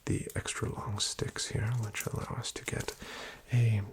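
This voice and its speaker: A man whispering as a formal, dryly ironic doctor in a soft-spoken ASMR roleplay. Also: on the low side, level tone, a little slow, creaky.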